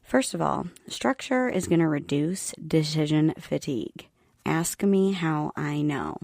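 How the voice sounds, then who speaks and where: Middle-aged woman host, low gravelly monotone, calm and even, dry close mic. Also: measured pace, dry recording. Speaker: podcast host. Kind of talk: solo podcast talk. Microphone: home podcast mic.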